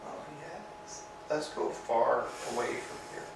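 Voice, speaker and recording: An actor playing a weary, complaining tramp with sore feet, speaking plaintively in a staged reading. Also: male voice, mid-range voice, fairly even intonation, slow, creaky, a little hiss or hum. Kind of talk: amateur staged play reading. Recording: echoey room, distant mic.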